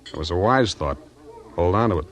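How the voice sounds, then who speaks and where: A man's voice: low voice, animated, brisk. An actor playing a hard-boiled male private eye in a 1940s radio drama, with dry, wisecracking delivery. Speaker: private detective and narrator. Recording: old radio broadcast with music.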